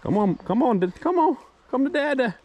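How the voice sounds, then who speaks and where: A man chatting casually and excitably in slang as he hosts a fishing vlog outdoors on an action camera. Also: very high voice, a little fast. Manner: excitable, slangy, says dude and man often. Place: outdoors at a wooded creek. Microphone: action camera worn or held by him. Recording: outdoor recording with background noise.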